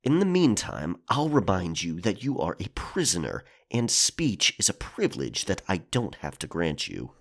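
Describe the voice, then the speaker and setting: Man acting a deep-voiced, menacing pirate quartermaster. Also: huge pitch swings, normal speed, hardly any pauses, heavily acted. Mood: stern menace. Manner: brusque, threatening, controlled. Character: stern pirate quartermaster guarding a captive, menacing yet reasonable. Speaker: voice actor. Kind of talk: historical romance audio drama acting.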